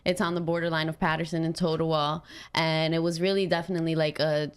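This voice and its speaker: A woman's voice: low-pitched, flat delivery, fast, smooth. A woman from New Jersey hosting a casual interview, talking with enthusiasm and plenty of fillers. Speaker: host.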